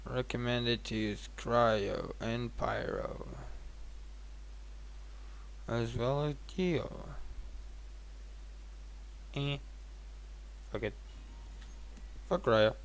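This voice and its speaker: A man streams a video game with slow, unhurried commentary and a noticeably creaky voice at a fairly low pitch. He sounds tired and rambles casually, thinking aloud and swearing now and then. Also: poor audio.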